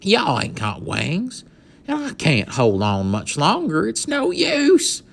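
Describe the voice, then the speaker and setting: A man's voice: on the high side, wildly swooping pitch, slow pace, creaky, pitched above the performer's own voice, full character voice. A man voicing a tiny, self-pitying cowboy with a twangy drawl.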